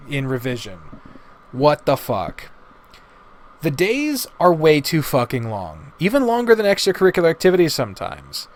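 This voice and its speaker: A man with a somewhat high-pitched voice talks through his opinions in a casual rant. He is blunt and exasperated, and he swears heavily as he goes. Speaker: reviewer of visual novels.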